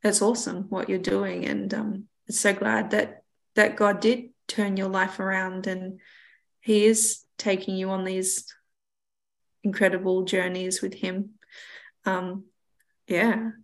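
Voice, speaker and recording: A woman's voice: medium pitch, little pitch movement, slow pace, creaky. A woman interviewer in a casual conversation about faith, speaking in an encouraging, affirming way.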